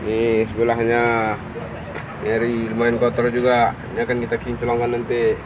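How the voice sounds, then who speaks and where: A man's voice: medium pitch, monotone, normal speed. A man, narrating casually and upbeat as he shows off engine detailing work in an outdoor workshop yard. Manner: chatty, upbeat, showing off the work. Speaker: car detailing vlogger. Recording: loud engine and water-jet noise.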